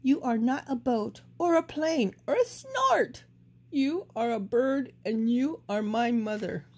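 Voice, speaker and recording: A woman voicing a small lost baby bird who keeps searching for its mother, high and pleading. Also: lively intonation, slow pace, darkish tone, husky, exaggerated voice. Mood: relieved.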